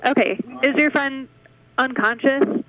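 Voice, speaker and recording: A woman's voice: sits high, measured pace, fairly bright tone, no room sound. Woman dispatcher on a phone line. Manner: brief, procedural questioning. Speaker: emergency dispatcher. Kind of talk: question-and-answer emergency call handling.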